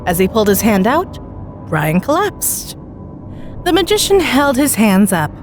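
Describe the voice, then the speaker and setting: Woman, story narrator, very animated intonation, dramatic pauses, music bed behind. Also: a little high. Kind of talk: expressive scripted horror story narration. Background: background music.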